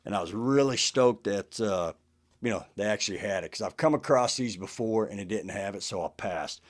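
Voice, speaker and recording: A man's voice: lowish voice, a little fast. A man talking casually to camera as the host of a collection show, in a laid-back, conversational way with "man" and "you know" sprinkled in.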